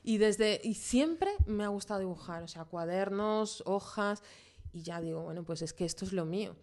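A woman's voice: mid-range voice, normal speed, darkish tone. A woman from Venezuela, a podcast guest, chatting casually and hesitantly with many fillers. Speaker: podcast guest, graphic designer. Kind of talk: casual interview chat.

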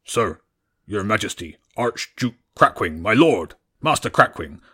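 A man's voice: lowish voice, sing-song, unhurried, sharp tone, breathy edge, exaggerated voice. A man voicing a nervous male seagull soldier who stammers and fawns over his lord's titles.

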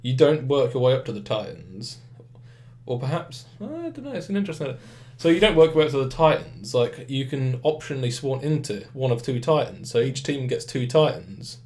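A man's voice: medium pitch, very animated, fairly quick, a little mellow. A young British man hosting a podcast, chatting casually about games while nursing a head cold that makes him sound a bit nasal and blocked up. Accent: British English. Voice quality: slightly nasal. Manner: rambling, restarts, acts out quotes. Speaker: podcast host. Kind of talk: casual gaming chat.

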